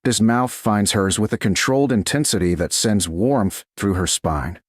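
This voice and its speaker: A man narrating a scripted romance story in a measured, deliberate, dramatic way, phrase by short phrase. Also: on the low side, no room sound, clean studio sound. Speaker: audiobook narrator.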